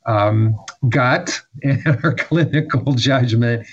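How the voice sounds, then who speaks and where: A man from child welfare work speaking slowly and hesitantly in an interview. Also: medium pitch, husky, highs cut off. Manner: slow, hesitant, with soft laughs.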